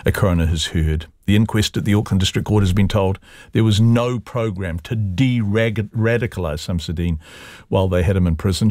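Low-voiced New Zealand radio host. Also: male voice, darkish tone, no echo. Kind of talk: radio news presenting.

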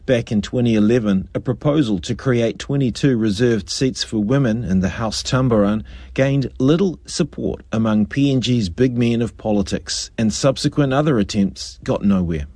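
Male reporter, scripted news voice-over; husky, mellow, dry. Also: mid-range voice, normal speed, no echo. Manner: even, formal report delivery.

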